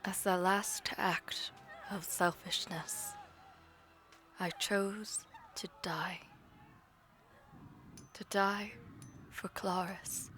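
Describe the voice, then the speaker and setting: A woman playing a proud, powerful mage villainess in an audio drama, speaking in a formal, imperious and deliberate manner. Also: fairly low pitch, a bit bright. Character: proud powerful female mage, commanding, vengeful, self-sacrificing villainess. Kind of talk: dramatic audio roleplay acting.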